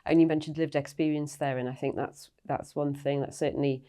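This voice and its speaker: A woman hosts a podcast and asks her guests thoughtful questions in a measured way. Her voice is very low for a woman, with a dark, mellow tone. She leads the conversation calmly. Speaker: podcast host. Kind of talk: interviewing guests.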